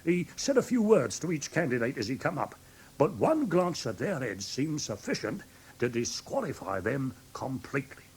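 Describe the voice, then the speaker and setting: An actor playing a flustered, credulous English pawnbroker in a British radio drama, hesitant and rambling as he tells his story, with a husky, rough voice. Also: medium pitch, very animated, normal speed, a little mellow, slight background noise. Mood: animated recounting. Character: flustered, credulous red-haired male London pawnbroker, excitable storyteller.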